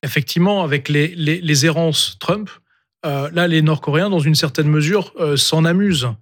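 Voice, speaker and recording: Man, somewhat high pitch, panel analyst, analytical live commentary. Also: moderate pace.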